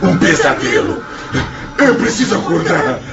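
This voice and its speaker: An actor playing the tormented, mangled spirit of a suicide in a radio drama, desperate, anguished, pleading and ranting. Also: male voice, fairly high pitch, sing-song, a little slow, gravelly, a loud crowd, full character voice. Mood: confused panic. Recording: dull, echoey studio track under loud music.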